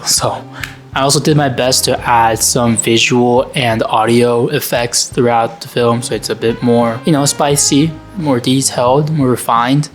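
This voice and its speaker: Young guy vlogging about his homemade film, casual and honest, lots of you know and like. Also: medium pitch. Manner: candid, self-critical, lots of fillers like "you know". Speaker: vlogger and amateur filmmaker. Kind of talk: reflective casual narration. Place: home.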